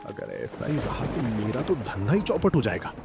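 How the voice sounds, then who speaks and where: A man's voice: medium pitch, big pitch swings, moderate pace, vocal fry, over music. A man voicing a grown village man of some standing in a cartoon story. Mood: bitter, envious. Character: hearty village landowner and jealous snack-seller rival, male.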